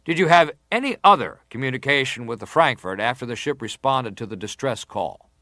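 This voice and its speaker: An actor playing a formal, persistent senator who questions a witness deliberately in a dramatised hearing. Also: male voice, mid-range voice, expressive, sharp tone, no echo, clean studio sound. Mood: probing. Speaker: interrogator at a hearing. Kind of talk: dramatised reading of hearing testimony.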